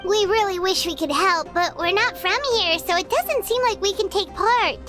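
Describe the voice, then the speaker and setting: A woman voicing a tiny, chatty, curious floating companion in an anime game, with a squeaky childlike voice. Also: normal speed. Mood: apologetic. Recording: music behind.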